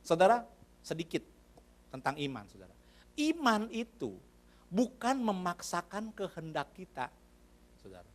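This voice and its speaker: Animated male pastor preaching. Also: high-pitched, measured pace, long pauses. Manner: preaches to the congregation, addressing them often as brothers and sisters; conversational, asks rhetorical questions. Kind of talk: sermon. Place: church.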